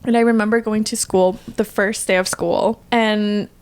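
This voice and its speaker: A woman's voice: medium pitch, fairly even intonation, normal speed, smooth. A young woman from South Korea whose first language is Korean, co-hosting a podcast with her sisters and giggling often. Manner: giggly, laughs at her own jokes. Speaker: podcast co-host. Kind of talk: casual chat.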